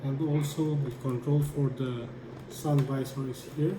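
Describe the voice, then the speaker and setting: A man giving a hands-on how-to demonstration, explaining step by step in a halting way as he works. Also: medium pitch, fairly even intonation. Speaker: tutorial presenter. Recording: echoey room with clear background noise.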